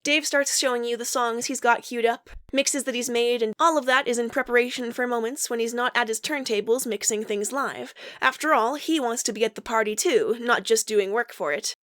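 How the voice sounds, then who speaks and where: A woman's voice: on the high side, normal speed, hardly any pauses, fairly bright tone, dry recording, studio quality. A woman reading fan fiction aloud as a podfic voice actor, narrating in the second person and acting out dialogue.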